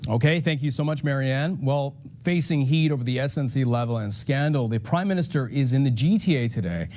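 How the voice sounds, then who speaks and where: A man's voice: medium pitch, fairly quick, warm tone. A man anchoring a morning news show, friendly and steering between segments from a TV studio.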